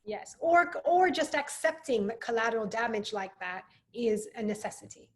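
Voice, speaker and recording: A woman's voice: fairly high pitch, expressive, normal speed. A woman podcast guest speaking in a thoughtful, articulate way during a reflective conversation. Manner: thoughtful, articulate, with frequent agreeing interjections.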